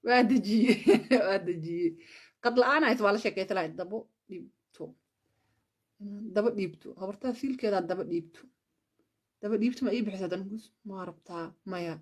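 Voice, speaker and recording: Woman on a live stream, chatty, hopping between languages, middle pitch. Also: fairly quick. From Somalia. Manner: switches between languages, thanks viewers for gifts. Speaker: livestreamer. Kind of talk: casual live-stream chat.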